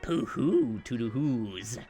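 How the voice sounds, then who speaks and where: A man's voice: medium pitch, big pitch swings, slow pace, warm tone, faint music behind. A man voicing a sly, gleefully mean old male creature from a storybook, gloating and drawing out his words. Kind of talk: animated storybook read-aloud.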